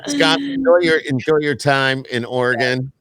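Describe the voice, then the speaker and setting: A man's voice: mid-range voice, big pitch swings, normal speed, a crowd in the background. A man with an upstate New York accent from Syracuse, hosting a podcast in casual, chatty interview style. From Northeastern United States. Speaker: podcast host.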